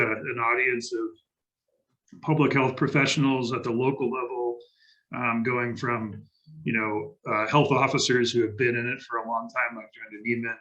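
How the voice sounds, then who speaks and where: A man moderating a public health panel, speaking haltingly with many restarts and fillers. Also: medium pitch, pushed and bright, lo-fi sound.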